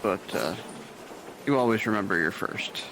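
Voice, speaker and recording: A man's voice: on the low side, expressive, moderate pace, bright, forceful tone, slight background noise, rough recording. An actor playing a dry, sarcastic, burned-out male nurse showing a new hire around, in an audio drama. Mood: sardonic.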